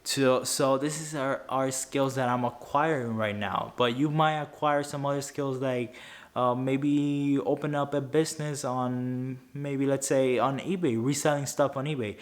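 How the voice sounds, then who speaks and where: A man's voice: medium pitch, steady tone, a little slow. A young man whose first language is Spanish, giving earnest money advice to camera, often tripping over words and restarting. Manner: earnest, stumbling with many restarts. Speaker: YouTuber. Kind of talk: unscripted finance advice to camera.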